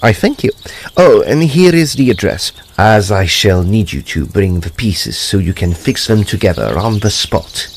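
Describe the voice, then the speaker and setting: A man playing a courteous, precise gentleman ghost hunter, telling his gothic tale in a formal, deliberate, expressive way. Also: lowish voice, slight background noise. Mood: courteous, brisk. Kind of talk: dramatised gothic storytelling.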